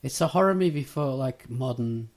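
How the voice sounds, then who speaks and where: A podcast host chatting casually, animated and quick to laugh. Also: medium pitch, a crowd in the background. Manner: laughs often, animated and enthusiastic. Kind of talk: casual chat.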